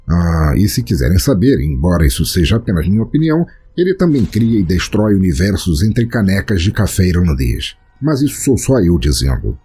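A Brazilian man narrates a scripted storytelling podcast in a deep, mellow voice. His delivery is theatrical and florid, with widely swinging intonation. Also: very animated, brisk, hardly any pauses, breathy edge. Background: music.

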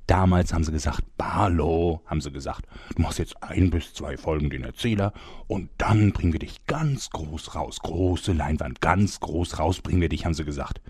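A man's voice: extremely low pitch, moderate pace, a little mellow, breathy edge, dry recording, clean studio sound. An actor playing a vain, fed-up male narrator in a comedy audio play, pompous and theatrical. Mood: indignant, grumbling. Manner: theatrical, pompous, self-important.

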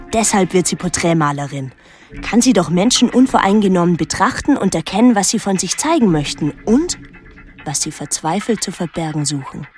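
An actress playing a reflective daughter who narrates a literary radio drama in a measured, introspective way. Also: female voice, on the low side, natural voice. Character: reflective daughter recalling her reclusive painter mother.

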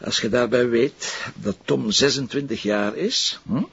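A man's voice: mid-range voice, measured pace, fairly bright tone, lo-fi sound, full character voice. An actor playing an insistent, impatient Flemish police detective in a TV crime drama, explaining his case and cutting others off. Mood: pressing, impatient. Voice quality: husky, gravelly.

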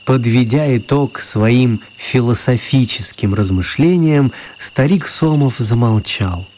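Male narrator for a radio play, soft-edged mellow timbre, mid-range, reading a scripted tale. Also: mid-range voice, takes it slowly, slight background noise.

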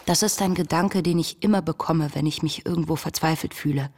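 Young female diarist in a radio play: deep-toned, articulate, troubled and searching, reading intimate confessions. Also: brisk, a little mellow. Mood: quietly resolved. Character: anguished, self-searching young woman diarist, intelligent and passionate. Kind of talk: literary radio play monologue, reading diary and letters.

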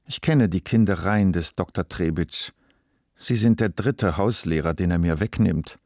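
A man playing a wry, composed wealthy employer in a literary radio drama, speaking calmly, deliberately and with irony. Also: low-pitched, very noisy. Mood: dismissive, calm.

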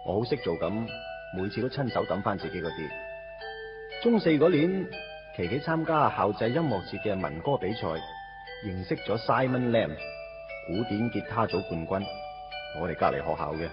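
Lovesick teen boy, Hong Kong Cantonese, low expressive voice, wistful and wry, confiding radio drama, music behind. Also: moderate pace. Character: lovesick teenage schoolboy, self-deprecating, wistfully narrating first love. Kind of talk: radio drama narration and dialogue. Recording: dull lo-fi radio recording with music.

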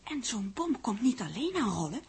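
An inquisitive secretary in a 1960s radio play. Her high, very husky voice moves up and down in lively swings as she questions and reasons, eager yet deferential. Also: normal speed, exaggerated voice. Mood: eager, reasoning. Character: inquisitive, quick-witted female secretary taking dictation. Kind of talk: 1960s radio drama acting.

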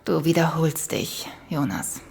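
Radio drama villainess: icy, superior, condescending, with a husky lower register. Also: female voice, animated, a little slow, a little mellow, full character voice. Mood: cool, halting. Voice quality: husky, low. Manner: condescending, cool. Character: cold, smug female conspirator and killer, haughtily superior. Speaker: actress playing a villain.

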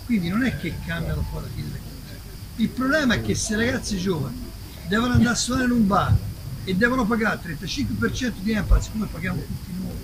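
A man's voice: sits high, lively intonation, fast talker. A man in his sixties making an impromptu, insistent complaint from the audience at a live event. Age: 60s. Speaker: audience member, publicist. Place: outdoor festival. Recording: noisy live outdoor recording.

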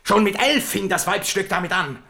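Blustering young king, bright and sharp. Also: sits high, normal speed. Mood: sneering, indignant. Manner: blustering, coarse. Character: crude, hot-tempered, childish young king of France. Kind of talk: radio drama acting.

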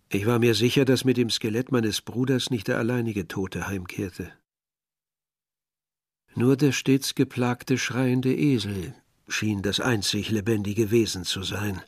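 An actor playing a bitter, brooding young man who narrates a literary radio play in a measured, reflective way, with a husky, gravelly voice. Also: on the low side, no echo, studio quality.

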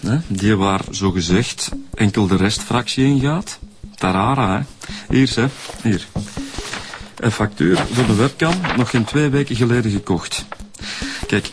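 A man with a Flemish accent playing an eager, talkative detective in a radio drama. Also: on the low side. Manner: eager, chatty, excitable. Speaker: police detective. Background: music.

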